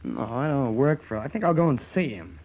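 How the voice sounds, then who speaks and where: A man's voice: mid-range voice, animated, brisk, darkish tone, natural voice. An actor in a radio play voicing a restless, unsettled young American salesman who yearns for the outdoors. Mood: hopeful, eager.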